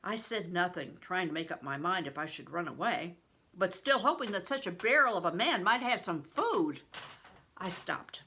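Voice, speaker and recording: A woman's voice: fairly low pitch, animated, moderate pace, pushed and bright, slightly rough. An older woman, a retired reading teacher, narrating a storybook expressively and with a teacherly style.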